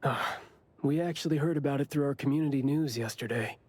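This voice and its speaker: A man's voice: medium pitch, fairly quick, darkish tone, no echo, lightly acted. An actor playing a friendly, eager male community gardener in a video game. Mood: friendly, helpful. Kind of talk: video game dialogue acting.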